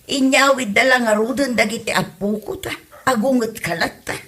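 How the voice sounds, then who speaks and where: A woman's voice: fairly high pitch, moderate pace, a bit bright. A woman with an Ilocano accent playing a long-suffering old wife and grandmother in a comedy audio drama, scolding with exasperation. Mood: nagging.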